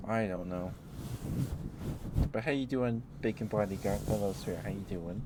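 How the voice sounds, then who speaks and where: A man hosting a casual ASMR livestream, chatting to viewers in a gentle, apologetic, rambling way. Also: fairly low pitch, moderate pace. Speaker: livestream host. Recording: clearly noisy background.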